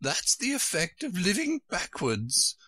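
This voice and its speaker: Man putting on a shrill, flighty storybook queen. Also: wildly swooping pitch, slow pace, fairly bright tone, dry recording, heavily acted. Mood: brisk, imperious. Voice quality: shrill, squeaky. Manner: emphatic, swooping, exclaiming. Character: flighty, scatterbrained older queen, shrill and imperious.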